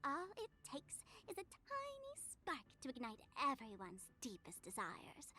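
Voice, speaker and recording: A bubbly anime game streamer girl, high swoopy voice, sly n teasing, music behind. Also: huge pitch swings, unhurried, sharp tone, heavily acted. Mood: sly, provocative.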